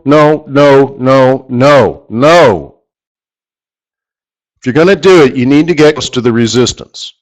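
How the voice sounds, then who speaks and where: Husky-throated man teaching trading. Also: medium pitch, low-quality recording. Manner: rambling, self-correcting, emphatic repetition. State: losing his voice, clears throat often. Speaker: forex trading instructor. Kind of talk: live trading lesson over charts. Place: studio or office, live stream.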